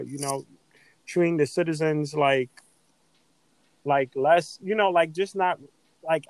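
Higher-voiced man in earnest casual conversation. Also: a little mellow, dry recording, rough recording. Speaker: podcast co-host. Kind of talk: casual podcast discussion.

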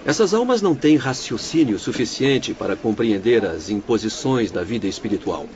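An actor with a Brazilian accent playing a courteous, composed doctor who explains things formally in a radio drama. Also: male voice, medium pitch, lively intonation, moderate pace, a little mellow, lightly acted. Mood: calm, explanatory. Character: courteous, composed male doctor guiding patients in the afterlife. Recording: dull lo-fi radio recording, faint noise.

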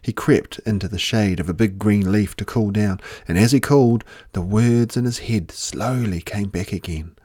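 A man reading a children's story aloud with expressive delivery and drawn-out, dramatic emphasis. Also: lowish voice, no echo, studio quality.

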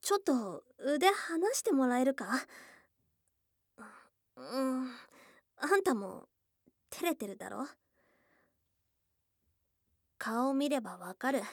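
A woman voicing a shy, tomboyish young goblin girl in an intimate ASMR voice drama, soft and breathy, often dropping to a whisper, close to the microphone. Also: high-pitched, dry recording, full character voice. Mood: bashful, flustered. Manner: blunt tomboyish speech, tender and hesitant. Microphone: close, dry studio microphone.

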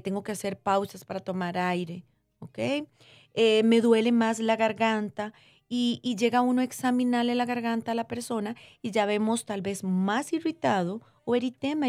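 A woman's voice: mid-range voice, a little mellow, no echo, studio quality. A woman speaking as a guest doctor, explaining conversationally in plain terms with diminutives and frequent check-in questions. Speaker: doctor interviewed as guest expert. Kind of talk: conversational medical explanation for lay listeners.

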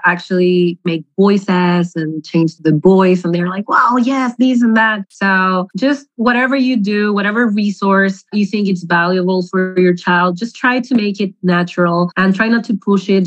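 A woman from Latin America, a mother talking as a podcast guest in a lively casual chat, laughing easily. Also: mid-range voice, dry recording, muffled recording. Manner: lively, laughs easily, says "you know" a lot.

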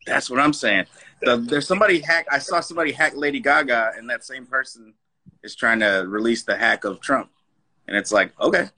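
A man's voice: medium pitch, sharp tone, breathy edge. A man in casual comedic chat, slangy and easygoing in agreeing with his partner. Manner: slangy, agreeable, quick to chuckle.

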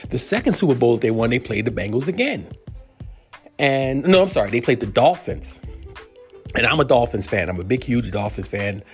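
Gravelly-voiced man in his fifties talking sports. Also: mid-range voice, very animated, moderate pace, soft background music. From New York. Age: 50s. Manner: rambling, many filler words and restarts. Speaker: podcast host. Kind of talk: solo sports commentary monologue.

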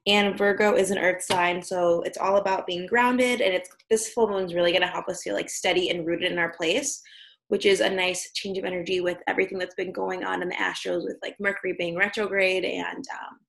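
A woman co-hosting a podcast on a video call, speaking in a sleepy, slightly raspy voice and explaining astrology in casual chat with lots of fillers. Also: on the low side, fairly even intonation, a little fast, fairly bright tone. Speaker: podcast co-host.

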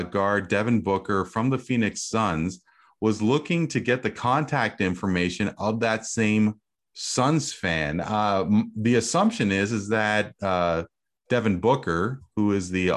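A man's voice: lowish voice, a little slow. A man hosting a casual sports talk show, rambling with many fillers and laughing easily. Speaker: host.

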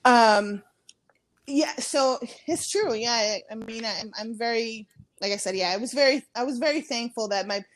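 A woman's voice: fairly high pitch, normal speed, dry recording. Woman, thirties, from Ecuador, casual guest talk, fillers and restarts, dry close sound. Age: 30s. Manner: chatty, many fillers and restarts. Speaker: guest, comedian.

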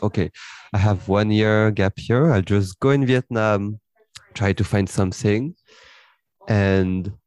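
A man's voice: on the low side, dry recording. A young man raised in France, a guest on a podcast, chatting casually with fillers and light laughs; his first language is French. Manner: relaxed, rambling, with frequent fillers and light laughs. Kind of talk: casual interview chat.

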